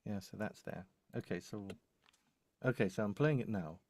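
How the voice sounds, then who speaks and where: Low-voiced man muttering through a video call. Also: normal speed, a little mellow, no room sound. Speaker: co-host and audio producer. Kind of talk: casual live troubleshooting chat. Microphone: microphone on a video call.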